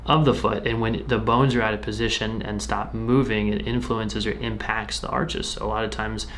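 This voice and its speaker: A man's voice: on the low side, flat delivery, normal speed, a bit bright. A man working as a chiropractor, explaining treatment to a patient in a reassuring, conversational way as he works. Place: indoor treatment room. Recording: clear background noise, treatment room ambience.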